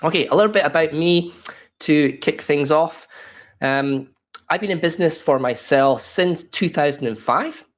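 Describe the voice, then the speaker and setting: A man in his fifties with an Australian accent presenting a live online workshop from his home office over a webcam call. He sounds a little croaky from a cold and talks in a loose, welcoming way with plenty of fillers. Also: a little high, moderate pace. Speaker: webinar presenter. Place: home office in a desk chair. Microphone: webcam video call.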